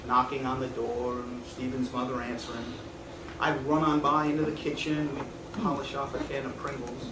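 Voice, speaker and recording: A man playing a weary, nostalgic man in his fifties, speaking deliberately and reflectively in a theatrical stage performance. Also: medium pitch, level tone, lightly acted. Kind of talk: theatrical stage monologue and dialogue. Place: small indoor theatre stage. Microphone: far camera. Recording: echoey theatre stage, distant noisy camera mic.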